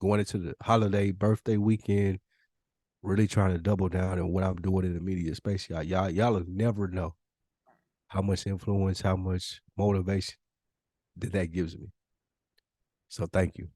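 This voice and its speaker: A man's voice: deep voice, flat delivery, moderate pace, pauses often, warm tone, no echo, studio quality. An American man co-hosting a casual podcast, talking earnestly and repeating phrases for emphasis. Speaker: podcast co-host.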